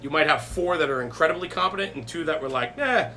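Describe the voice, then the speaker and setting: A man hosts a casual podcast conversation in a bright, fairly high voice. He talks emphatically and often checks in with a quick question. There is faint noise behind him. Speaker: podcast host. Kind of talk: casual chat.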